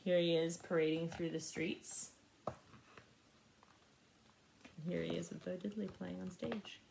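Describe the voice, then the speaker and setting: A woman's voice: on the low side, gravelly, slight background noise. Echoey-room woman reading a children's story. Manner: animated, pauses to ask young listeners questions. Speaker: storybook reader. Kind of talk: expressive children's read-aloud.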